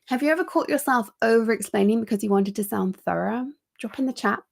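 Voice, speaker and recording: Gravelly-voiced woman coaching. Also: fairly high pitch. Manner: energetic and chatty, quick asides, frequent ums. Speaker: executive coach. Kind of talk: live online training talk.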